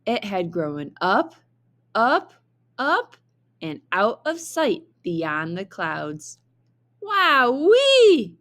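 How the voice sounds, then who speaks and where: A woman reading a children's story aloud like a teacher, with expressive, deliberate narration. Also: lowish voice.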